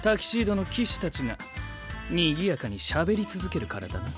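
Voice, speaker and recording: A man's voice: high-pitched, big pitch swings, brisk. A man playing a pedantic, aloof young scientist knight in a comedic audio drama, speaking in a pompous, wordy, logical way. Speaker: voice actor. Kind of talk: comedic character dialogue. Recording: studio voice over prominent background music.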